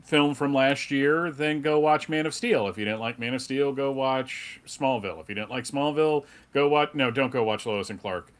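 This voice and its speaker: Wry middle-aged man, halting delivery. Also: medium pitch, clear, resonant tone. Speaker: panelist at a fan convention. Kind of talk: casual panel discussion, fan commentary.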